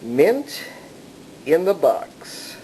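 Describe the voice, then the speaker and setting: A man narrating a casual hands-on show-and-tell as a collector, explaining things in a deliberate way. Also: a little high, big pitch swings, mellow. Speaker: collector showing a vintage radio. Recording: clearly noisy amateur recording.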